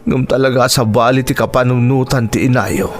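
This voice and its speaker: A man's voice: medium pitch, fairly even intonation, moderate pace, soft background music, close to an everyday voice. A man acting a weary, sorrowful poor father in a Filipino radio drama, plaintive, with a Filipino accent. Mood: aggrieved, sad.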